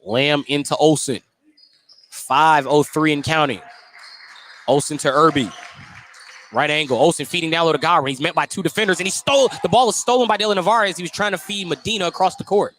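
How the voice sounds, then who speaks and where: High-voiced American man calling live basketball. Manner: clipped running descriptions with drawn-out, excited calls on baskets. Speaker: play-by-play commentator. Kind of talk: live radio basketball commentary. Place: courtside in a basketball gym. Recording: some noise, gym ambience.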